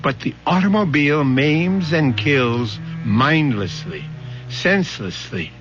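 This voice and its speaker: A man hosting and narrating a radio drama in a theatrical, portentous, measured style. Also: a little high, lively intonation. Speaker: host and narrator. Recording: dull old radio broadcast with music.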